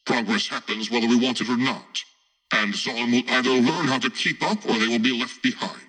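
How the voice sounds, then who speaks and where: Man doing a cold, husky, condescending politician. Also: mid-range voice, a little fast, pushed and bright, poor audio. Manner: formal, lecturing, superior. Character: cold, condescending council politician favouring harsh trade measures. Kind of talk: audiobook character acting.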